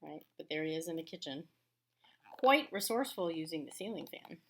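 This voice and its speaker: A woman's voice: low voice, animated. A woman elementary school teacher giving a read-aloud lesson for children, explaining things and chatting between passages of a storybook. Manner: explanatory, pauses to comment on pictures.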